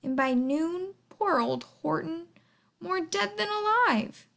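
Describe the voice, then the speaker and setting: A woman reading a rhyming children's story aloud in a theatrical, deliberate, expressive manner. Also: extremely high pitch, creaky. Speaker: storybook reader.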